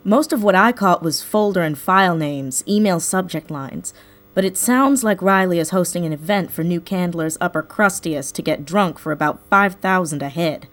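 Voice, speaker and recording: An actress playing a wry, sharp tech specialist in an audio drama, matter-of-fact in manner. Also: female voice, lowish voice, normal speed, close to an everyday voice. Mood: wry, explaining. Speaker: voice actor in a fiction podcast. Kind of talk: scripted audio drama acting.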